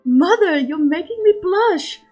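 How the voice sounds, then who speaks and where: A woman's voice: very high-pitched, animated, a little slow, a little mellow, faint music behind, pitched above the performer's own voice. A woman voicing a playful, easily flustered young noblewoman in a storybook reading, with a girlish, genteel, exclamatory manner. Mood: bashful delight.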